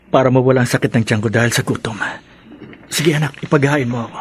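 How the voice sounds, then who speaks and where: A man's voice: mid-range voice, fast talker, slight background noise, close to an everyday voice. An actor playing a weary, poor middle-aged father in a radio drama, plaintive and bitter but loving.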